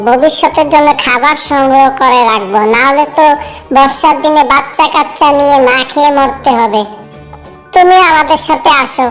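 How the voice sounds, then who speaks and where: High little mother bird, kindly and patient, voiced by a woman. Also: fairly bright tone, poor audio. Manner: patient, friendly explaining. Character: small sensible mother tailorbird, kindly and hardworking. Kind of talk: animated fairy-tale cartoon dialogue.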